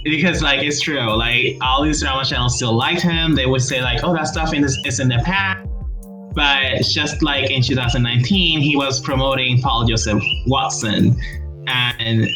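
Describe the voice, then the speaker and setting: A man from Puerto Rico with a Puerto Rican accent, chatting casually as a guest, animated and laughing often, with many "like" fillers. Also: medium pitch, normal speed, music in the background, low-quality recording. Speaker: guest YouTuber. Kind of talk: casual video-call conversation.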